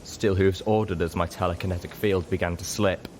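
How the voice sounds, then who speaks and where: A man's voice: deep voice, fairly even intonation, brisk, darkish tone, a little hiss or hum. A man reading scripted story narration as an audiobook reader.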